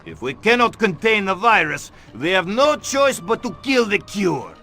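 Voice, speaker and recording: Game soldier: high-pitched, forceful, grimly urgent. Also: male voice, sing-song, moderate pace, faint music behind, full character voice. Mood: grim urgency. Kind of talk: video game cutscene voice acting.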